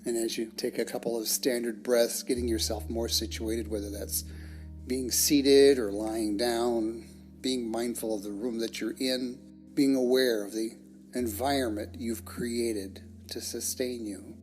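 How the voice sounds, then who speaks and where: A person leading a guided meditation in a measured, soothing manner, with long pauses between phrases. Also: medium pitch, fairly even intonation. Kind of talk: guided meditation, scripted narration. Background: soft plucked guitar music.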